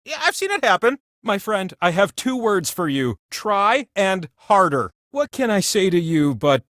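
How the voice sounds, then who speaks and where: A man's voice: sits high, big pitch swings, unhurried, fairly bright tone, no echo, studio quality, heavily acted. A man voicing a chatty, vain living wheel that hosts a comic video game show in a theatrical, quirky way. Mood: teasing, mock-pitying. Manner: theatrical, quirky, playfully self-important. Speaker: game host.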